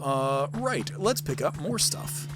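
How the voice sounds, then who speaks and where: A man with a fairly high, very animated voice talks through a game in a casual, rambling way. He thinks out loud as he goes, with clear music playing behind him. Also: takes it slowly. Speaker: gaming video host and amateur voice actor. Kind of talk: casual gameplay commentary with read-aloud game text.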